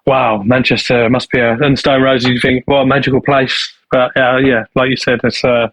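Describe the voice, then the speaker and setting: A man's voice: mid-range voice, little pitch movement, moderate pace, a bit bright, no room sound, poor audio. Manchester producer guy chatting, lots of restarts and ums, mid voice, dry mic. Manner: rambling with many restarts and fillers. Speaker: studio owner and producer. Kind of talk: casual interview answers.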